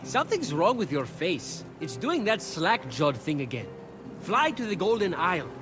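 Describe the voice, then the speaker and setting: An actor playing a glib, wry and playful trickster messenger god in an animated video game. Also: male voice, high-pitched, normal speed, music in the background. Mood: flippant, teasing. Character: quick-witted male trickster messenger god, flippant and playful.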